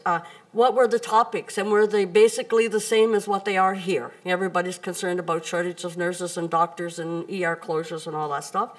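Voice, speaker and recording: An older woman addressing a council informally, blunt and folksy, in a halting delivery. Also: fairly low pitch. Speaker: community group speaker. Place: council chamber, indoors, seated at a table.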